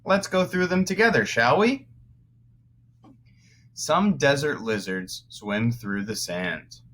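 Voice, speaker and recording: Slow-paced man reading aloud to children. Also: medium pitch, lively intonation, a bit bright. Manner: explanatory, pausing to clarify words. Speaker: first-grade teacher. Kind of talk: read-aloud lesson for young children.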